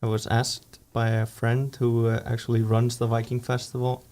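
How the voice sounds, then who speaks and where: A man, the band's founder, talking in a relaxed, unhurried way in a radio studio. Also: mid-range voice. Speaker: band founder guest. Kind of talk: casual interview chat.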